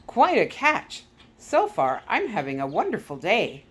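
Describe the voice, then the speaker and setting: A woman voicing a gloating, talkative male Soviet KGB skipper in a storybook read-aloud, smug, deliberate and theatrical. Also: medium pitch, huge pitch swings, breathy edge, heavily acted.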